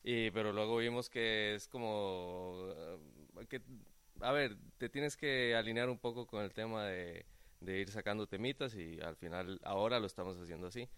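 A man's voice: lowish voice, normal speed, fairly bright tone, clear, resonant tone, no echo. A man with a Costa Rican Spanish accent, chatting enthusiastically as a musician in an interview, repeating phrases for emphasis. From Costa Rica. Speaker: band drummer interviewed. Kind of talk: casual interview chat.

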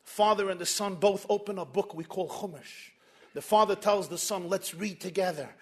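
A man telling a story as a sermon, animated and building energy through long lists. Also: sits high, moderate pace.

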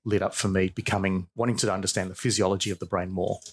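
A man's voice: sits low, flat delivery, fast, husky. A middle-aged man with an Australian accent, explaining things informally as an expert guest in an interview. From Perth, Australia. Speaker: expert guest, professor and researcher.

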